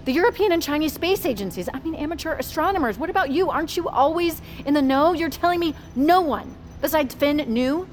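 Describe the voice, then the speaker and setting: An actress playing a skeptical, sharp-witted woman in an audio drama, questioning and blunt. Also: high-pitched, lively intonation, brisk, hardly any pauses, a little hiss or hum. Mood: incredulous, agitated. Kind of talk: audio fiction acting.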